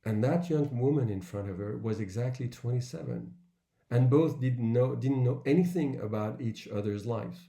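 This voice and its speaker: A man giving an earnest, measured public talk with personal anecdotes, in broken phrases with pauses. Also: medium pitch, gravelly, warm tone. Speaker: author and workshop speaker. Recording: roomy, echoing sound.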